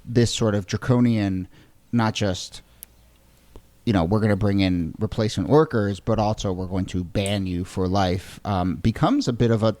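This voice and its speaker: Low-voiced man steering a discussion. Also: steady tone, normal speed, darkish tone, no echo. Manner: guides the talk, hands questions to co-hosts, hedging "you know". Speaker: co-host and moderator. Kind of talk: conversational history podcast discussion.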